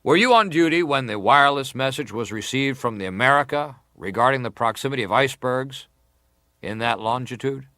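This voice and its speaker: Probing senator, medium pitch, formal, measured, insistent, dry studio sound, dramatized inquiry. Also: male voice, lively intonation, bright, forceful tone, no echo. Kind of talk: dramatized reading of hearing questions.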